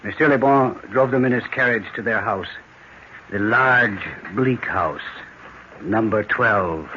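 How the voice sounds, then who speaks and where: An actor playing a confident, courteous amateur detective in an old-time radio mystery, speaking in a formal, theatrical manner. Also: male voice, lowish voice, unhurried, pushed and bright, slight background noise. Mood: grave narrating. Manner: theatrical, formal, self-assured. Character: confident, courteous French amateur detective, grandly explaining a murder. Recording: dull, lo-fi old radio recording.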